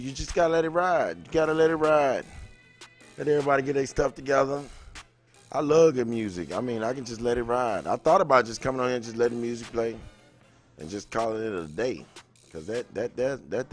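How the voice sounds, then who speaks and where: Man chatting casually over music. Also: mid-range voice. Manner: rambling, repeats phrases. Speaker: livestream host. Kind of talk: casual livestream chat, greeting viewers. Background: music playing.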